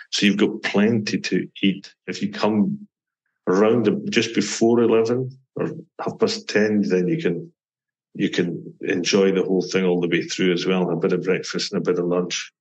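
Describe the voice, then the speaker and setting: A middle-aged Scottish man with a very low voice that barely moves in pitch. He explains things in a steady, matter-of-fact way, running through details one after another like an interview guest. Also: rough recording. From Scotland. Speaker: guest from a mountain attraction. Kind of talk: podcast interview.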